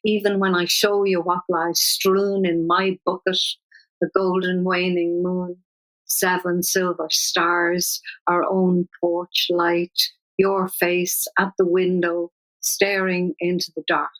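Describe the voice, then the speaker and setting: An older woman poet with an Irish accent, talking thoughtfully with many restarts and reading her verse slowly. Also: fairly low pitch, flat delivery, fairly bright tone, poor audio. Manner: thoughtful, searching, frequent restarts; slow measured poetry reading. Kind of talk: conversational interview with poetry reading.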